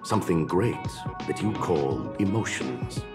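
A man playing a courteous, scholarly mechanical gentleman in a video game, speaking in a formal, measured and philosophical way. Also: low voice, expressive, over music. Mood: reflective, courteous. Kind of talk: scripted game dialogue.

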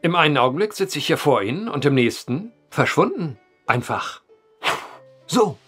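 An actor playing a brooding, eloquent storyteller at a bar in an audio drama, wordy and theatrical. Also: male voice, on the high side, very animated, moderate pace, a bit bright, slightly rough, faint music behind, exaggerated voice. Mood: brooding, insistent.

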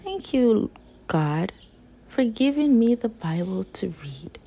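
A woman reading a children's picture book aloud as a storyteller, with gentle, deliberate, emphatic phrasing. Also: fairly high pitch, sing-song, vocal fry, husky.

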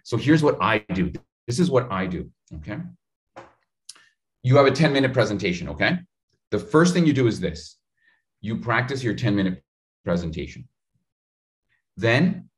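A man in his thirties coaching on public speaking over a video call. His voice sits low and his delivery is animated and direct, aimed straight at the listener. He is recorded on a studio mic in a home room. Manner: animated, gestures a lot, talks straight to the viewer. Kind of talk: energetic advice in an interview. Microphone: studio mic in frame on a video call.

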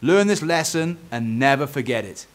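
A man's voice: medium pitch, lively intonation, normal speed, voice pushed up, lightly acted. A British man voicing a kind, forgiving father in a children's story, reassuring and instructive. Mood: gently teaching.

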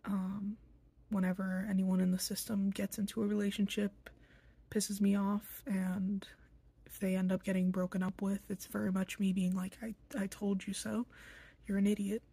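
A young woman talking to a phone in a small bedroom, blunt and irritable, halting with many fillers. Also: medium pitch, little pitch movement, vocal fry, mellow, breathy edge. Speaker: vlogger introducing themselves. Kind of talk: casual self-introduction to camera.

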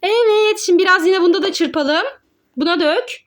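A woman's voice: extremely high pitch, normal speed. A woman narrating pretend play for children as host, coaxing and giving simple step-by-step instructions like a mother to her child.

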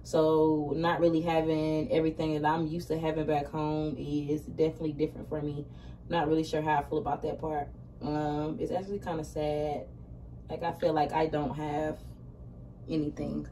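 A woman's voice: sits low, monotone, normal speed, mellow. A woman in her twenties with an American accent, chatting casually and slangily while getting ready in a small room. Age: 20s. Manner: slangy, conversational. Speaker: vlogger. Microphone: camera a short distance away. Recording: roomy distant camera mic, faint noise.

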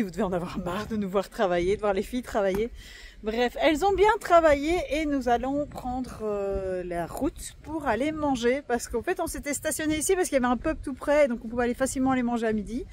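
Brief: woman in her thirties, family travel vlogger, chatty and hesitant, slightly high voice, phone held at arm's length, clear outdoor noise behind. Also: a little fast, darkish tone. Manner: chatty, with frequent hesitations and restarts. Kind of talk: casual vlog narration to camera. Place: outdoors on a windy coast, later in a camper van and a restaurant. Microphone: phone camera at arm's length.

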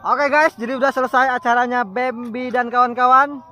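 High-voiced young man vlogging outdoors. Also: fairly even intonation, brisk, bright, forceful tone, soft background music. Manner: slangy, addresses viewers directly. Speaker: motovlogger. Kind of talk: casual vlog chat. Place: outdoors at night, village roads on a motorbike. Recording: noisy outdoor motorbike recording. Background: motorbike engine and street noise.

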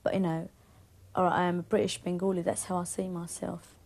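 Young cockney woman chatting, lots of "you know", low mellow gravelly voice. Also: little pitch movement, a little fast. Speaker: band member interviewee. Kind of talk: casual interview talk.

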